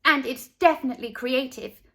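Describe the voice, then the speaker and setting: A woman's voice: sits high, normal speed, sharp tone, voice pushed up. A woman voicing a self-assured little girl, proud to be different, in a children's storybook. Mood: approving.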